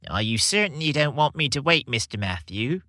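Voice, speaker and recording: A man's voice: mid-range voice, very animated, a little fast, pushed and bright, dry recording, clean studio sound. A man voicing a tiny, courteous and eager male elf in a Christmas storybook reading. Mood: polite, helpful.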